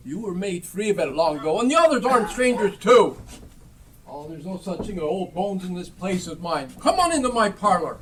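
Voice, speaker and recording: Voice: mid-range voice, sing-song, brisk, lightly acted. A performer playing a sly, wheedling old rag dealer of nearly seventy in an amateur Dickens stage play. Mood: hospitable, sly. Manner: wheedling, mock-hospitable, haggling. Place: theatre stage, live performance. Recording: live stage recording, distant and noisy.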